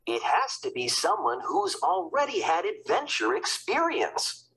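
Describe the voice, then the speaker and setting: A woman's voice: fairly low pitch, sing-song, unhurried, fairly bright tone, a little hiss or hum, voice pushed up, low-quality recording. A woman voicing a busy, hearty, self-assured male American president in a children's storybook, with an emphatic, explanatory manner. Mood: reassuring. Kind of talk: children's book read-aloud.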